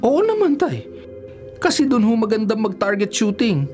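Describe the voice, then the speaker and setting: Boastful tipsy-type cop in a radio drama, flippant, super animated pitch, bit of music behind. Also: male voice, normal speed. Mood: scoffing. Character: cocky, stubborn hard-drinking policeman, married, dismissive of warnings. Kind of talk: Filipino radio drama acting.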